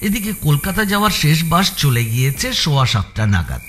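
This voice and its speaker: Casting: male audio-story narrator, slightly high register, very expressive intonation, measured suspense storytelling. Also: slight background noise.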